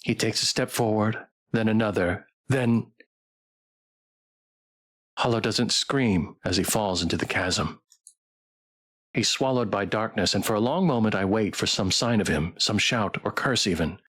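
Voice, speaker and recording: A man's voice: on the low side, a little slow, fairly bright tone. A man reading a visual novel aloud as dramatic scripted narration. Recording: music behind.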